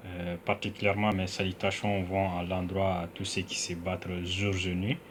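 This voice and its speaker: A man from Mali giving a political address to his people, with plenty of hesitations, who starts slow and measured and then speaks louder and more heated. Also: deep voice, level tone, slight background noise. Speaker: citizen activist.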